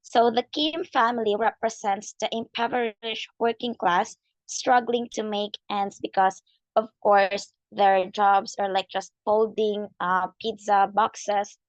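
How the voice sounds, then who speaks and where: Young woman student presenter, husky and hoarse, mid pitch, muffled lo-fi recording. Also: steady tone, takes it slowly, dry recording. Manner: explanatory, leans on "so" and "like". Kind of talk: class presentation. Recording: dull lo-fi mic.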